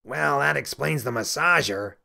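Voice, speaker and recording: Creaky, grumpy little Christmas elf. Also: male voice, mid-range voice, normal speed, sharp tone, heavily acted. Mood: suspicious, sneering. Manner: complaining, sneering, stern. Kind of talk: children's audiobook character voice.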